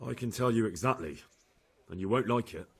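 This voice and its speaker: Young game hero, earnest, lowish voice, bit of husk. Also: male voice, brisk, husky. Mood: earnest, grave. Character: earnest young man reporting to lords, escaped captive. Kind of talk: video game cutscene acting.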